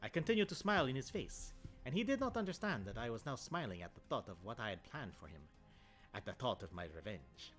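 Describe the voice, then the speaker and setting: A man doing a put-on Italian accent to voice a proud, vengeful nobleman, narrating with measured theatrical delivery. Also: mid-range voice, sing-song, a bit bright, faint music behind. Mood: brooding. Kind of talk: dramatic reading aloud.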